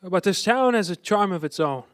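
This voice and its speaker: A harried family man of a classic radio play. His voice sits high and very dry, and he stammers through his words, frustrated but tender underneath. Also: expressive, moderate pace, no echo. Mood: concerned, kindly. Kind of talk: old-time radio drama acting.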